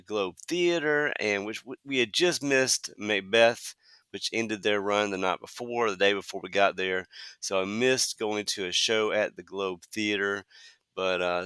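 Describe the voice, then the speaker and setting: A man in his fifties hosting a solo podcast, talking conversationally with frequent "uh" fillers and a slightly hoarse voice from a cold. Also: fairly low pitch, bright, forceful tone, dry recording. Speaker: podcast host.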